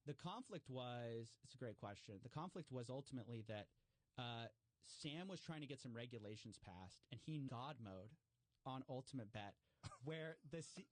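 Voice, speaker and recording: A man's voice: medium pitch, expressive, moderate pace, dry recording. A man talking casually as a podcast guest, explaining and telling stories in a conversational way. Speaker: podcast guest, investigative journalist.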